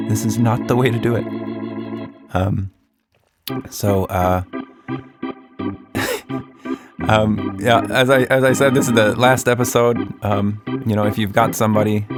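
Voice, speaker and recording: A man hosting and narrating a children's story podcast in a gently humorous way. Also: lowish voice.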